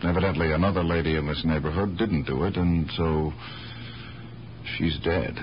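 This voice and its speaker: An actor playing an earnest, protective police detective nephew in a radio drama, instructive and exasperated. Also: male voice, sits low, fairly even intonation, normal speed, lightly acted. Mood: grave. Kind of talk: 1970s radio drama acting. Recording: dull old radio recording, faint noise.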